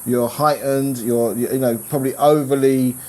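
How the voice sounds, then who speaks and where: A man, a business coach, talking in a relaxed conversation, rambling and restarting his sentences, growing animated when he makes a point. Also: mid-range voice, normal speed, a little hiss or hum, rough recording. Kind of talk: casual conversation.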